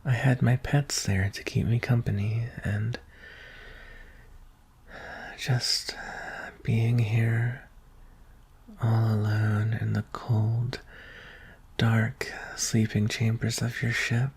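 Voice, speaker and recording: Someone acting as a timid vulnerable alien prince, asmr roleplay, soft whispery husky voice w some creak, real slow. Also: mid-range voice, little pitch movement, heavily acted. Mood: timid, lonely. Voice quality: breathy, husky, often whispering. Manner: hesitant, halting, tender. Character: shy, anxious young alien prince, gentle and vulnerable.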